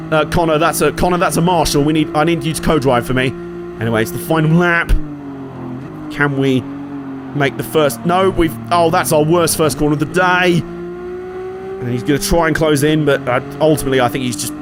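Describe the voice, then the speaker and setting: A man with a slightly hoarse voice from a cold, casually commentating his own racing game with jokes and swearing. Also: fairly high pitch, background noise. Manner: chatty, jokey, sweary, reacting aloud to the race. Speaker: gaming channel host.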